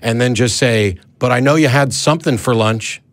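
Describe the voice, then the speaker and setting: A man's voice: mid-range voice, normal speed, no echo. A man hosting a live call-in show, debating in a blunt, argumentative way and readily cutting in.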